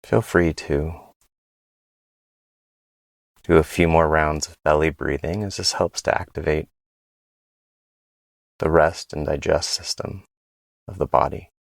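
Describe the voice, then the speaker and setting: A man leading a guided body scan meditation in a gentle, unhurried way, pausing between phrases. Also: a bass voice, steady tone, gravelly, no echo.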